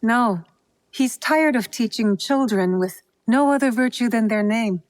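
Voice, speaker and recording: A woman's voice: on the high side, measured pace, no echo, lightly acted. An actress playing an earnest, reserved young woman in a video game, polite yet plain-spoken. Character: earnest, reserved, blunt young female student of tea. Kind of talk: video game cutscene acting.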